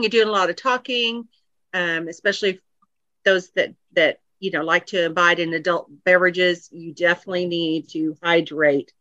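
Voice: mid-range voice, pushed and bright, dry recording. A speaker giving friendly practical advice in a chatty way, explaining step by step with many ums. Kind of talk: casual advice chat. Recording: dull lo-fi audio.

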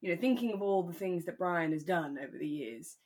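A woman's voice: lowish voice, expressive, brisk, darkish tone. A British woman co-hosting a podcast, chatting casually and conversationally about a radio drama, with a giggly streak. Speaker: podcast co-host.

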